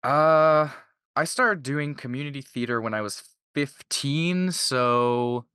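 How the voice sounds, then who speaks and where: 22 yr old guy from canada chatting in an interview, bright voice, really dry sound. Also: mid-range voice, slow, clear, resonant tone, no echo. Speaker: voice actor being interviewed. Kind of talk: casual interview chat.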